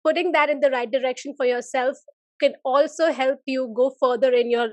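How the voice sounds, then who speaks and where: A woman's voice: sits high, steady tone, a little fast, no echo. A woman hosting a podcast, asking long, winding questions and reflecting on the guest's answers for her listeners. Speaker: podcast host.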